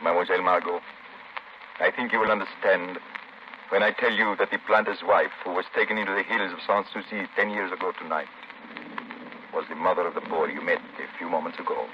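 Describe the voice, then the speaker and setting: An actor playing a grave, widowed plantation master in a 1930s radio drama, speaking formally and solemnly. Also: male voice, low-pitched, steady tone, a little fast, natural voice. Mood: grave, foreboding. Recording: muffled 1930s radio recording, faint noise.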